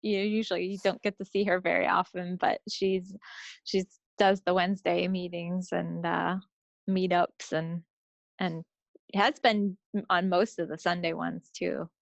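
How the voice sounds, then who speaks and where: A woman's voice: lowish voice, flat delivery, unhurried, creaky, dry recording. A woman chatting casually as a friendly podcast co-host, speaking unscripted on slightly lo-fi audio. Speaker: co-host of a knitting and crafts podcast.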